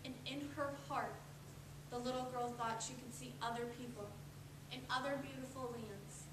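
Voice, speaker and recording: Higher-voiced woman narrator, echoey and distant. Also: steady tone, normal speed. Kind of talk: scripted storybook narration, readers theatre. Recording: echoey room, distant mic, clear noise.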